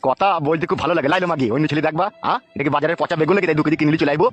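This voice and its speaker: Man doing an irritable, fast-talking village father. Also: fairly high pitch, husky, soft background music. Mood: scolding. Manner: blunt, scolding. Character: gruff, irritable, foul-mouthed middle-aged village father. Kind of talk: bawdy cartoon comedy acting. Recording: dull lo-fi cartoon audio.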